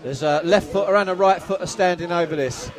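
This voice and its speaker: Excitable high-voiced football commentator. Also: male voice, fairly quick. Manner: excitable, shouts at goals, self-mocking. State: losing his voice. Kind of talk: live play-by-play commentary. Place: outdoors at a football ground. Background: crowd noise.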